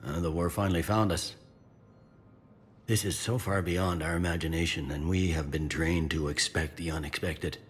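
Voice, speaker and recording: A man's voice: low voice, steady tone, normal speed, slight background noise, lightly acted. A man playing a weary, middle-aged security officer in a video game, plain-spoken and downbeat. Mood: weary, sombre. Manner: downbeat, matter-of-fact.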